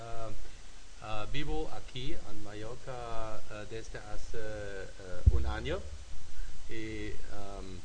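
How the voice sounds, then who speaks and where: German man in halting Spanish. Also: fairly low pitch, fairly even intonation, background noise. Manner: halting beginner Spanish, many fillers and restarts. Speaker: panel guest, football coach educator.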